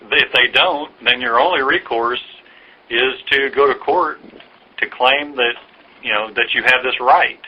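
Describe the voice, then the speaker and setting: A man explaining things patiently and haltingly in a phone conversation, like an official answering a caller's questions. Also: medium pitch. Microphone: telephone. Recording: dull lo-fi recorded phone call.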